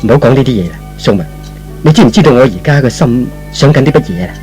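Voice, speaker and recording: Man playing an earnest, devoted young lover, expressive pitch. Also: fast, faint music behind, close to an everyday voice. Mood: tender. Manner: earnest, sentimental. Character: devoted, proud, tender young man in love. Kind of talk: old Cantonese radio melodrama acting.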